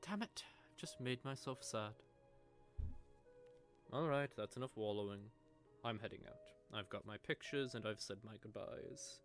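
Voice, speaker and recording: A man playing a sardonic, predatory vampire with a sentimental streak, teasing and taunting in an intimate audio roleplay monologue. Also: fairly low pitch. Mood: wistful, then resigned, wry. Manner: teasing, taunting, drawling menace with soft asides. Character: sardonic, predatory male vampire, secretly sentimental toward prey.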